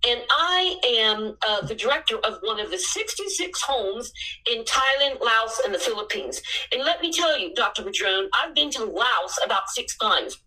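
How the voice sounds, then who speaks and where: A woman in her sixties, a missionary, telling her story in an earnest way that bursts into excitement at times. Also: on the high side, moderate pace, recorded from a distance, rough recording. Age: 60s.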